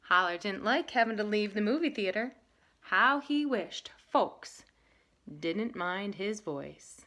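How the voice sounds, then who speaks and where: A woman teaching and reading a picture book aloud with emphatic, expressive delivery. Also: mid-range voice, slow pace. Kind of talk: lesson talk and animated storybook reading.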